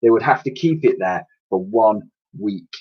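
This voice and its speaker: A man in his thirties reading a children's storybook aloud in an expressive, explanatory way, like a teacher to pupils. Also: on the low side, moderate pace, slightly rough. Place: small attic room with sloped ceiling, indoors. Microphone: webcam or laptop mic at arm's length. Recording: dull, lo-fi webcam sound.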